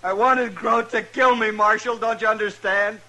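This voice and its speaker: A man's voice: high voice, steady tone, moderate pace, pushed and bright, exaggerated voice. An actor playing a despairing, bitter man in a 1950s radio western, with an anguished, emphatic delivery. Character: despairing, bitter man who wanted to die. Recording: hissy old radio recording.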